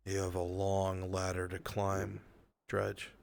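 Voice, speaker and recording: Low-voiced man narrating a brooding roleplay. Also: monotone, gravelly, darkish tone. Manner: brooding, deliberate storytelling with dramatic pauses. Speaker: game master. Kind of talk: dramatic second-person narration of a live roleplay game. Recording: music behind the voice. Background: background music.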